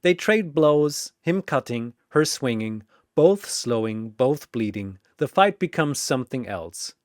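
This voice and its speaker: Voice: mid-range voice, expressive, takes it slowly, no room sound, studio quality. A narrator reading scripted fiction in a dramatic storytelling style.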